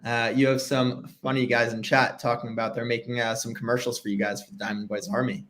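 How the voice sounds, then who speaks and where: A man's voice: mid-range voice, little pitch movement, a bit bright. Young male host, upbeat and chatty, home video call. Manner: upbeat, fillers, quick reactions. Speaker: livestream host. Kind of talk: casual interview over video call. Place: home room with framed pictures.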